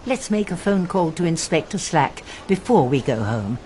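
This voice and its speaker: A shrewd old English gentlewoman in a radio play, her voice lowish and very expressive. She speaks precisely and with quiet command, kindly but unbudging, over faint background noise. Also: normal speed. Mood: firm, genteel. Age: older. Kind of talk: radio drama acting.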